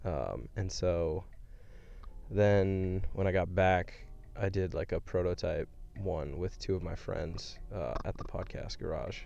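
A man's voice: sits low, slow pace, creaky, a little mellow, lo-fi sound. A man co-hosting a podcast in casual chat, recorded inside a van on an inexpensive USB microphone. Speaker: podcast co-host. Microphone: cheap USB microphone.

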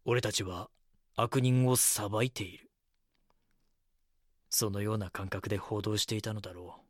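A man's voice: on the low side, measured pace, no echo, clean studio sound. A man playing a brooding reporter who narrates an audio drama in the first person, somber and reflective. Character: brooding male reporter narrating his regretful recollection.

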